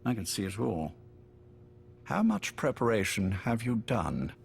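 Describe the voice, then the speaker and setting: An actor playing a courteous but menacing older spymaster in a video game, urbane, measured and wryly polite. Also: male voice, on the low side, wildly swooping pitch, vocal fry, breathy edge, full character voice. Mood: grave, intense. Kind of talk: dramatic game voice acting.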